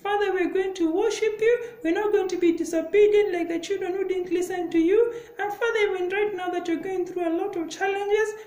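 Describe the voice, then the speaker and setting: Extremely high-pitched little girl puppet. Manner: excitable, encouraging, repeats phrases. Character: cheerful, eager little girl puppet named Jill. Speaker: puppet co-host. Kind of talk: children's Bible puppet show. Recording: roomy indoor echo.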